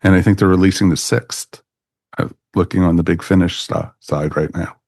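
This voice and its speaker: A man's voice: low voice, fairly even intonation, moderate pace, darkish tone, dry recording, studio quality. A man hosting a podcast in casual chat, rambling along with a fan's enthusiasm. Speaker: podcast host.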